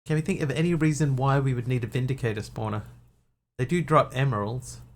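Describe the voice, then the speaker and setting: A man's voice: mid-range voice, brisk, a little hiss or hum. A man with a New Zealand accent tinged with Australian, chatting casually and rambling through stories while streaming a game on a desk mic. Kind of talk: casual gaming chat.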